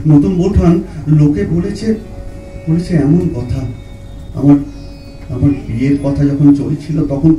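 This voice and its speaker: Guy acting a dying old poet, very dark mellow voice, halting n tender, radio play style, echoey with music under. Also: a little high. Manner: slow, halting, repeats words, poetic. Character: dying old poet, tender, anguished, questioning his sister-in-law. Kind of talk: Bengali audio drama, dramatic reading. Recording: roomy echo, distant mic, music underneath.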